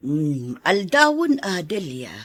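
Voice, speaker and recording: Worried big sis in a radio drama, chiding, raspy, very up and down. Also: female voice, lowish voice, very animated, slow, fairly bright tone, heavily acted. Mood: concerned, chiding. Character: concerned older sister, fussing, frank and advising. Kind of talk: Ilocano radio drama acting.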